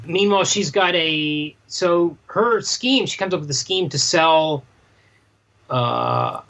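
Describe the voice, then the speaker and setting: A man's voice: fairly high pitch, very animated, slow pace, fairly bright tone. A man co-hosting a casual book discussion, hesitant and often repeating himself, on slightly dull lo-fi audio. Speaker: podcast co-host.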